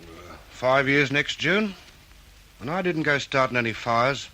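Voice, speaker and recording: A man's voice: mid-range voice, very animated, measured pace, background noise. An actor playing a defensive, blunt factory workman in an old radio drama. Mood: defensive, curt. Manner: curt, blunt.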